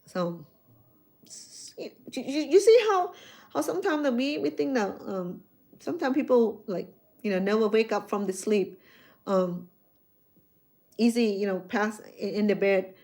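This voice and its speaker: A middle-aged woman speaking English with a noticeable Cambodian accent, talking loosely to her live-stream audience. She stumbles and repeats words as she restarts her thoughts, in an easy, chatty way. Her voice sits at an ordinary middle pitch for a woman. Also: animated, measured pace, long pauses, a little mellow. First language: Khmer. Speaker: chef hosting a live stream. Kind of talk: casual live-stream chat with viewers.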